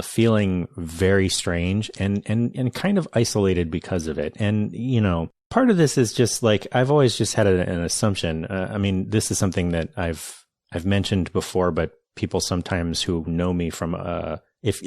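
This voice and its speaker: A man hosting a podcast talks reflectively, pausing now and then as he shapes his thoughts. His voice is very deep. The recording is dry, with no room sound. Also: a little mellow, dry recording. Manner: reflective, measured, with hesitations. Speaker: podcast host. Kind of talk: casual conversational interview.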